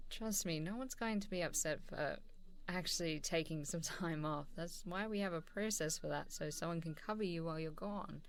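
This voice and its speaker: A woman playing a warm, supportive, easygoing boss in a casual, encouraging ASMR role-play. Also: deep voice, clean tone. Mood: caring, encouraging. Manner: encouraging, casual, chuckling hesitantly between phrases. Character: supportive, warm, easygoing female boss giving a praising review. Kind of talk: ASMR role-play, softly conversational one-sided dialogue.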